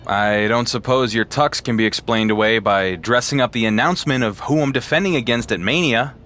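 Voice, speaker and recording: A man's voice: medium pitch, fairly quick, a bit bright, lightly acted. An actor voicing an earnest male wrestling champion in a video game cutscene, talking in a plain, wary way.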